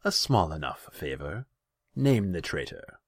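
A man's voice: sits low, very animated, measured pace, full character voice. A man voicing a haughty, hot-tempered khan in a fantasy story, pompous and demanding. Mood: imperious.

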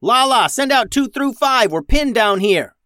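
A woman's voice: lowish voice, big pitch swings, measured pace, no room sound, studio quality, voice pushed up. Man playing a hot-headed woman starship captain. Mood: shouting orders. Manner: shouting orders, hot-headed.

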